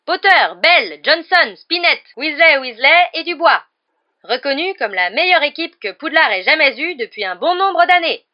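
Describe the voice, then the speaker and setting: A woman voicing an excited, partisan schoolboy shouting cheeky live sports commentary in an audiobook. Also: high-pitched, moderate pace, highs cut off, voice pushed up. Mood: proudly announcing. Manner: exclamatory, shouting, openly biased.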